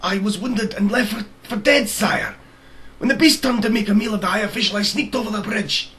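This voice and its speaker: A man's voice: sits high, lively intonation, fairly quick, pushed and bright, pitched above the performer's own voice, exaggerated voice. A man voicing a frightened, pleading soldier in a storybook reading, deferential and stammering before his king. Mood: fearful, pleading.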